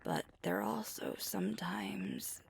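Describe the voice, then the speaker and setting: Woman as thoughtful werewolf pack leader girlfriend, intimate ASMR, hushed and breathy, very gravelly, widely swinging pitch. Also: huge pitch swings, takes it slowly, exaggerated voice. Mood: hushed, uneasy. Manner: soft-spoken, often breathy and hushed, musing hesitantly. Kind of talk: ASMR roleplay, intimate one-sided conversation.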